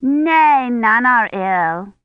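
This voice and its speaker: Lady reading as a little storybook girl, high insistent voice, fearless and chatty, old-timey wording, bit dull recording. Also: expressive, very slow, dry recording. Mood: matter-of-fact, insistent. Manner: artless, insistent, old-fashioned phrasing. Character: small eager fearless girl, chatty and trusting. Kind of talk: audiobook character acting.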